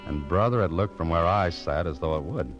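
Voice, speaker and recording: An actor playing a world-weary, wry American private detective in a deadpan radio noir style. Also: male voice, sits low, a little fast, over music. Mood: wry suspense. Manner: dry, deadpan, wisecracking. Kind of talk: 1940s-50s radio noir narration and dialogue. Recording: muffled vintage radio recording.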